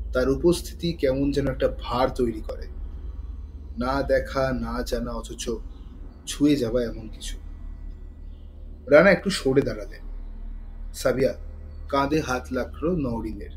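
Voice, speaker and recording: Guy narrating a suspense story, dramatic and measured, medium pitch, bit of background noise. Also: poor audio. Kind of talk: dramatic scripted thriller narration.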